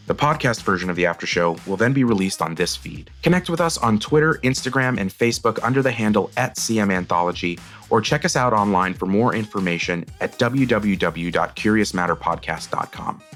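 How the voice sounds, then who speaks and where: A man's voice: fairly low pitch, a bit bright. A man hosting an audio drama show, reading scripted closing credits into a studio microphone. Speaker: host, director. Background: background music.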